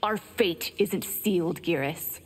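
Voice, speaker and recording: Proud, defiant vampire. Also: female voice, lowish voice, takes it slowly. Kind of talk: video game voice acting. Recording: clear background noise.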